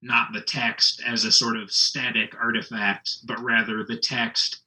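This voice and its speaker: A man who works as a literary translator, explaining his approach in an articulate, measured way. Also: mid-range voice, flat delivery, bright, forceful tone, poor audio. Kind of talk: conversational interview answers.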